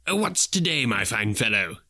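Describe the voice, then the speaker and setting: A man voicing an old miser turned overjoyed, with high, excitable exclamations. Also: unhurried, heavily acted. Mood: eager. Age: older.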